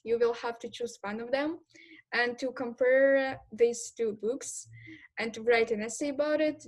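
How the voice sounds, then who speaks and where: A young woman student explaining exam assignments to future students in a steady, methodical way. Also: fairly high pitch. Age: young adult. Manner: steady, methodical, with occasional fillers. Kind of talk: informal explainer talk to prospective students.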